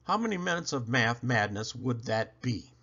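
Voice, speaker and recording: A man reading a children's picture book aloud in an animated storyteller style, with dramatic, emphatic phrasing and playful swoops. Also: medium pitch, moderate pace, vocal fry, husky, muffled recording.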